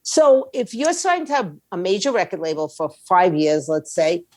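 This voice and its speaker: A woman's voice: on the low side, big pitch swings, moderate pace, darkish tone, dry recording, clean studio sound. Blunt, emphatic woman giving her opinion. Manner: blunt, emphatic, uses slang and rhetorical questions. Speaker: music industry attorney and indie label owner, interview guest. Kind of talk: opinionated interview talk.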